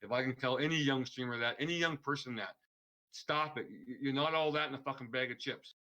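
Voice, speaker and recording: A man's voice: medium pitch, fast talker, pushed and bright, rough recording. A man guesting on a podcast, talking earnestly and rambling in casual chat. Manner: earnest, rambling, restarts a lot. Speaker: streamer guest.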